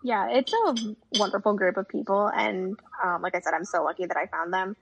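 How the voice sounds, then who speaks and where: A woman's voice: medium pitch, brisk, creaky, noisy background. A young woman talking as a guest in a casual conversation, with a chatty manner full of fillers. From United States. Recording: dull lo-fi audio.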